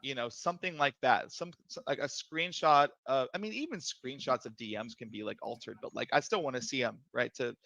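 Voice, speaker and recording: A man with a soft voice, defending himself on a live stream, hesitant with many fillers and restarts. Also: medium pitch, a little fast, creaky, hardly any pauses, no echo, highs cut off. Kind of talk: casual live stream debate.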